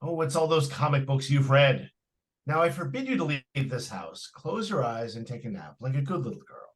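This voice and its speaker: A man's voice: mid-range voice, big pitch swings, normal speed, close to an everyday voice. A man plays a skeptical middle-aged father in 1940s radio style. His delivery swoops up and down, indulgent and dismissive, with little hesitant stammers. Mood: concerned, firm. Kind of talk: 1940s radio drama acting.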